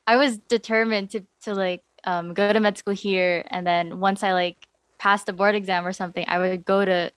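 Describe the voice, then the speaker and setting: A woman's voice: mid-range voice, fairly even intonation, moderate pace, clear, resonant tone, dry recording. American-raised woman, twenties, interview guest, chatty and casual, dry close recording. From United States. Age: 20s. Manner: chatty, frequent "like" and "honestly".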